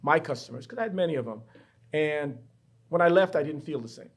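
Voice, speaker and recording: A man's voice: on the high side, animated, a little fast. Reflective middle-aged male professor. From Bahamas. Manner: reflective, personal storytelling. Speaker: professor, guest. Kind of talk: candid interview conversation. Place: university studio.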